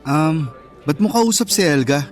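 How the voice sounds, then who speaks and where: Earnest filipino school boyfriend, youthful high male voice, protective, radio drama, faint music. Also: animated, measured pace. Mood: indignant, firm. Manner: earnest, reassuring. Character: protective, fair-minded teenage schoolboy boyfriend, gently earnest.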